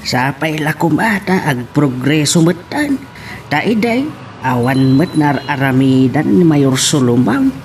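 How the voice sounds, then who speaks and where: An actor with a Filipino accent playing a skeptical, scheming uncle in an audio drama, speaking deliberately. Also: male voice, a little high, animated, a little mellow. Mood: skeptical, grumbling. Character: skeptical, scheming uncle absorbed in local politics. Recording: noticeable background noise.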